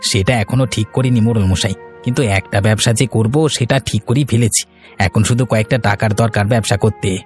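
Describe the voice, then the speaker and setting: A man's voice: lowish voice, fairly even intonation, fast talker, faint music behind, close to an everyday voice. A man voicing a pushy, shifty village schemer in a cartoon, by turns wheedling and bullying. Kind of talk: cartoon story dialogue.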